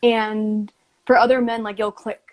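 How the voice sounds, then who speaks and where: A woman's voice: medium pitch, little pitch movement, measured pace. A woman hosting a podcast, talking casually and reflectively in a supportive, informal way peppered with "like". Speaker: podcast host.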